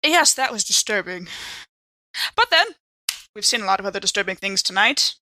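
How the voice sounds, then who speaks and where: A woman's voice: mid-range voice, animated, moderate pace, pushed and bright, no echo. A woman role-playing casually as a tabletop game player, with a dry, wry way of talking.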